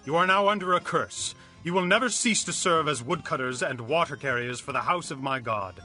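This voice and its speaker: An actor playing a stern, commanding ancient Israelite leader, demanding in manner, in a dramatized audio Bible. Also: male voice, on the high side, a little fast, bright, forceful tone, soft background music. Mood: stern, accusing.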